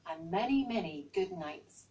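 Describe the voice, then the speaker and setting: A woman's voice: lowish voice, expressive, unhurried, a little mellow, recorded from a distance, slight background noise, poor audio. A woman with an English accent voicing a suave, inviting big cat in a storybook. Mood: gentle.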